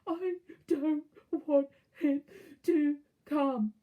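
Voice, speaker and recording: A woman voicing a spoiled young boy in a children's storybook with a loud, drawn-out, mock-sobbing whine. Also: high voice, phone-quality sound, pitched above the performer's own voice. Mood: wailing.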